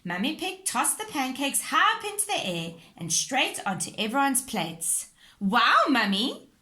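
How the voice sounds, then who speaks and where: A woman's voice: high voice, sing-song, moderate pace, sharp tone. A woman reading a scripted children's story aloud as narrator. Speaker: storybook reader.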